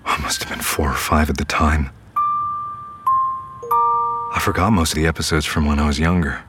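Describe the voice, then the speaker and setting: A man's voice: steady tone, normal speed, over loud music. An actor playing a weary, hesitant man with a sleep disorder in a naturalistic audio drama. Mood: haunted recollection. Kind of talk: naturalistic audio drama acting, some inner narration. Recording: rumbling vehicle noise behind the voice.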